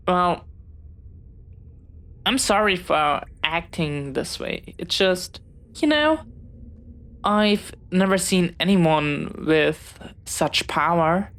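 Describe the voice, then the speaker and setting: A woman acting in a scripted audio roleplay as a bookish, dreamy young pirate, jumpy but earnest, speaking haltingly and dramatically straight to the listener. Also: mid-range voice. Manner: dramatic, halting, swinging from theatrical threats to sheepish chatter.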